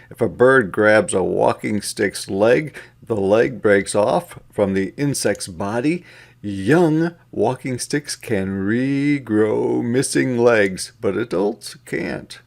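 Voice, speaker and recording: A man reading a children's counting book aloud in a deliberate, interactive way, with sing-song counting and repetition for young listeners. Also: mid-range voice, vocal fry, a little mellow.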